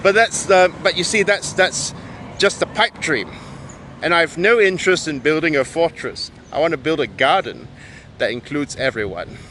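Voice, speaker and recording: Malaysian peranakan guy ranting to camera, kinda high voice, wry, traffic noise outside. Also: animated, moderate pace, sharp tone. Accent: Malaysian Peranakan English. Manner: emphatic, wry, with frequent restarts. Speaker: video essayist. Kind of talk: monologue to camera.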